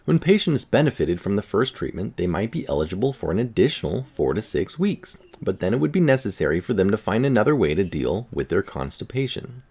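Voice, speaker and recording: A man with a low voice reads a scientific article aloud. His intonation moves around a lot, and his delivery is informal, like someone reading for listeners and commenting along the way. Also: very animated, fairly quick, hardly any pauses, a little mellow, breathy edge. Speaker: article reader.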